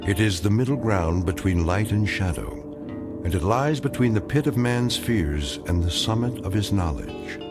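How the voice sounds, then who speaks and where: A man narrating a scripted drama anthology in a solemn, measured, wry storytelling manner. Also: fairly low pitch, animated. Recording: music behind.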